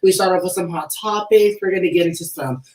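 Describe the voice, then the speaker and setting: A woman's voice: fairly low pitch, fast talker, darkish tone. A woman hosting a casual gossip podcast, speaking bluntly with plenty of swearing and slang. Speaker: podcast host. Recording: roomy, echoey sound.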